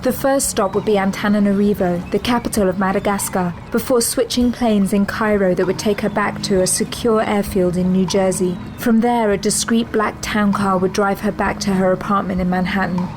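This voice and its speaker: A woman's voice: medium pitch, steady tone, normal speed, few pauses, background noise. A woman reading scripted narration for an audio drama as its storyteller.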